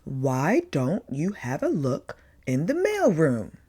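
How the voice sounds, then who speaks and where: Jolly old Santa as a woman plays him, booming, hearty, kindly, storybook character, very animated intonation. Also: slow pace, husky, heavily acted. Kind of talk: picture-book character voice.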